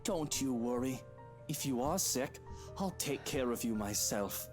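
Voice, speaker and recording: A man's voice: medium pitch, animated, a little slow, faint music behind, natural voice. A man voicing a greedy, scheming husband in a visual novel game, theatrical, condescending, mock-tender and menacing. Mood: taunting.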